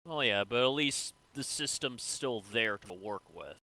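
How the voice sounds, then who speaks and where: A man's voice: mid-range voice, expressive, unhurried, sharp tone. A man improvising a casual, conversational interrogator role in online game voice chat. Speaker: roleplayer acting as an interrogator.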